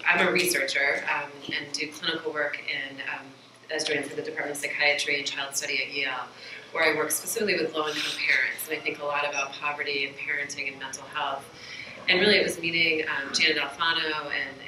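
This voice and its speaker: A woman's voice: low voice, noisy background. A woman in her forties giving an academic presentation at a podium microphone, earnest and gesturing, with many fillers. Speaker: researcher panelist. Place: meeting room, indoors. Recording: echoey room, voice from a distance.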